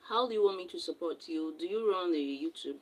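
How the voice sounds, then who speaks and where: A woman's voice: on the low side, expressive, a little fast, a little mellow, low-quality recording. A woman from Nigeria hosting a casual live stream, who has just woken up and reads viewer comments aloud and answers them directly. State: just woke up.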